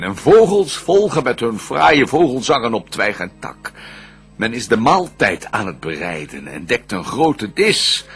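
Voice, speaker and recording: Vengeful fallen angel in a radio play, super raspy, grandiose, swings way up and down. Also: male voice, lowish voice, fairly bright tone, heavily acted. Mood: wary, plotting. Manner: declamatory, grandiose. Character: vengeful banished devil, proud, scheming, fallen angel. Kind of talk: theatrical verse radio drama.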